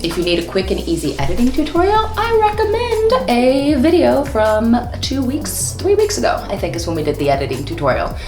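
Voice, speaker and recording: An animated woman in her thirties, talking straight to camera and gesturing as she explains things. Her pitch leaps around, and her voice rings in a roomy echo with music underneath. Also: fairly quick, few pauses. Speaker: voice actor and host. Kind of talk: upbeat instructional vlog. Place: small room at home.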